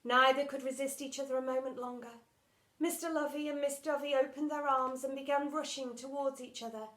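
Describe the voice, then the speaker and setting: Lady reading a kids story out loud, highish voice, really expressive and dramatic. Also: fairly quick, roomy sound. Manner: expressive, theatrical delivery with acted sound words. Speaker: teacher reading story aloud. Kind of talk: animated children's storybook narration.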